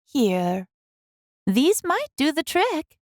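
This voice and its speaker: A kindly storybook old lady, acted out by a woman reader, speaks in a high, unhurried voice. She is sweet and gentle, drawing her words out brightly. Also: big pitch swings, no room sound, studio quality, full character voice. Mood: gently coaxing. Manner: bright, gentle, drawn-out delivery. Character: kind, plump, sweet old woman with a remedy.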